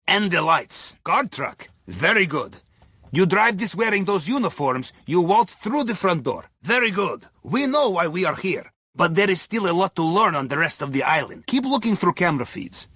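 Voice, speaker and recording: A man's voice: high-pitched, sharp tone, slightly rough, no echo, clean studio sound, heavily acted. An actor playing a shrewd, genial Russian submarine crewman in a video game, guiding missions in clipped, dryly conspiratorial phrases with a Russian accent. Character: shrewd, genial male Russian submarine captain's aide and heist planner. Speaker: mission guide over comms.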